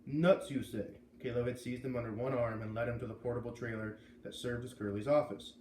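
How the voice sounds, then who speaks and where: A man reading a storybook aloud as expressive narration. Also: mid-range voice, fast. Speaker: storybook reader. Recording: echoey room, faint background noise.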